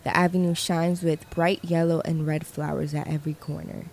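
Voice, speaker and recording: A woman reporter reading scripted narration in a measured, descriptive way. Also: low-pitched, level tone, gravelly, a little mellow, a little hiss or hum.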